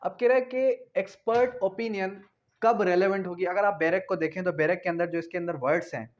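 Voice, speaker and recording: Law lecturer, male, notably high register, methodical and repetitive teaching delivery, slightly muffled low-fidelity sound. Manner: repetitive, explanatory, asks and answers own questions. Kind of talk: lecture explaining statute with examples.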